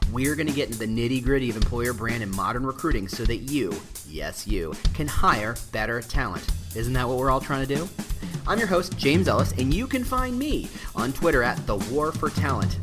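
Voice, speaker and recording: A middle-aged man hosting a casual solo podcast, rambling with frequent restarts and asides. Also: medium pitch. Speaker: podcast host.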